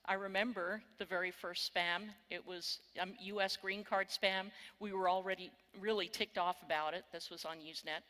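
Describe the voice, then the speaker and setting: Texan woman, bright voice in a big hall. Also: on the low side, fairly even intonation, normal speed, few pauses. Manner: wry, candid, swears casually. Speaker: keynote speaker. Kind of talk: conference keynote talk. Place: conference hall on stage. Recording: long reverb of a large hall.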